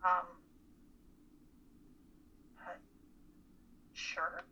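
A woman's voice: drawn-out words, sharp tone, dull audio, slight background noise, exaggerated voice. A woman acting a bossy but caring female college student in a dramatic reading, with a blunt manner. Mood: softening. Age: young adult. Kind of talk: radio-play style dramatic reading.

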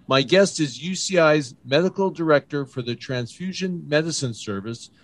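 A man's voice: on the high side, animated, moderate pace, no echo. A man hosting a radio talk show, with an upbeat, emphatic announcer delivery as he interviews a guest.

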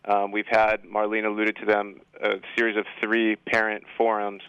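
A man speaking as a school administrator in a radio interview, explaining things in a measured, matter-of-fact way. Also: on the low side, completely flat delivery, a bit bright, clean tone, no room sound, lo-fi sound. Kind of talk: unscripted radio interview answers.

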